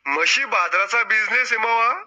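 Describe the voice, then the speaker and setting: A man's voice: moderate pace, noisy background, natural voice. A man voicing a cunning, troublemaking old village grandfather in a cartoon, talking in a blunt, rustic, boastful way. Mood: scheming. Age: older. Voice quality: high squeaky cartoon voice. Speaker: game show contestant. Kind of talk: cartoon comedy acting.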